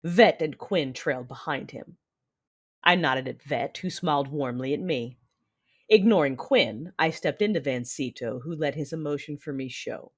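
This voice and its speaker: Casting: actress for armored dark-side matriarch, imperious and calculating, narrates dramatically with wide pitch swings. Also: female voice, expressive, breathy edge. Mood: measured, brooding. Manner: measured, imperious. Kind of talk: first-person dramatic narration.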